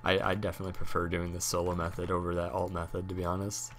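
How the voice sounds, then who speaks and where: A young man with a hoarse voice from a cold, rambling casually with many fillers while talking about a game he streams. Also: low-pitched, monotone, fast, a bit bright, slight background noise. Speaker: gaming YouTuber and streamer. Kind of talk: casual talk over gameplay.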